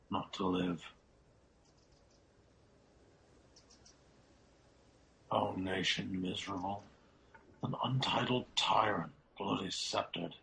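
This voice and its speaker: A man playing a loyal, despairing nobleman in a Shakespearean radio drama, speaking in a solemn, declamatory way with a gravelly voice. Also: sits low, bright, forceful tone, heavily acted, rough recording. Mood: despairing, indignant. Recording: clearly audible background noise.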